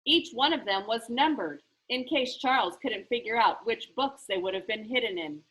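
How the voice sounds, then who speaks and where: A woman reading a children's novel aloud in an expressive, animated storybook style. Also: a little high, moderate pace, poor audio.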